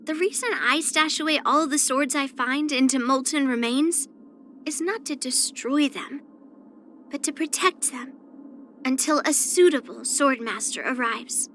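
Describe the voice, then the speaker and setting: Bright, high-voiced girlish young swordswoman. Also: measured pace, faint music behind. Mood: earnest, proud. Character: spirited young swordswoman, blunt, proud, girlish. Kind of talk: character voice lines for an anime-style video game.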